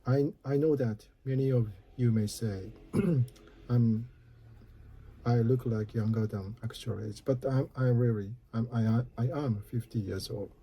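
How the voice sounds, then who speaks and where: A man in his fifties with a Japanese accent, talking slowly and haltingly about his own worries in a personal video. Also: medium pitch, fairly even intonation, lo-fi sound, a little hiss or hum. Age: 50s. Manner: slow, halting, careful phrasing. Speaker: office worker. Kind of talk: personal video talk to camera.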